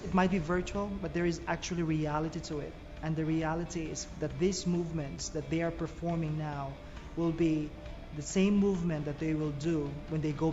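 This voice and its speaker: A specialist explaining therapy in an interview for a TV report. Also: medium pitch. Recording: dull audio under prominent music. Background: music.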